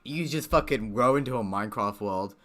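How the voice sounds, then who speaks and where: A man's voice: mid-range voice, a little fast, sharp tone. A man streaming a game, joking and swearing casually as he comments and reads its text aloud. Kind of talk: casual gaming commentary, reading visual novel text aloud.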